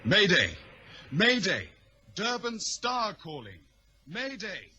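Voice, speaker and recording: An actor playing a wry, anxious ship's radio operator in a radio drama, on a noisy vintage recording. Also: male voice, sits high, very animated, slow, sharp tone, slightly rough, exaggerated voice. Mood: urgent calling.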